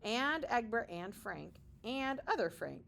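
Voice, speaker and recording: Slow, higher-pitched woman reading to young children. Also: sing-song, gravelly, a bit bright, slight background noise. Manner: slow, deliberate, dramatic emphasis with short explanatory asides. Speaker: kindergarten teacher reading aloud. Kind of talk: expressive children's picture-book read-aloud.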